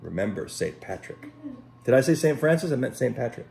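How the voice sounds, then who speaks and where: A man reading a children's story aloud in an expressive, dramatic way, pausing to speak directly to young listeners. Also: mid-range voice, vocal fry. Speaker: Sunday school storyteller. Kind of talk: animated children's story read aloud with asides. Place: at home.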